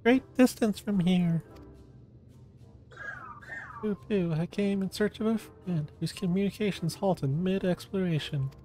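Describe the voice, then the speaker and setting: Man doing a tiny high-pitched babbling star creature. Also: dark tone, faint music behind, poor audio. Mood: earnest, halting. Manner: repeats small nonsense syllables between halting phrases.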